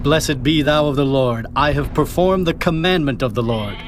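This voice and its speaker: A man's voice: on the high side, moderate pace, soft background music, natural voice. An actor playing a proud male king insisting he has obeyed, in a dramatized scripture reading. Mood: defensive. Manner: defensive, insistent. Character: Saul, a proud, self-justifying male king.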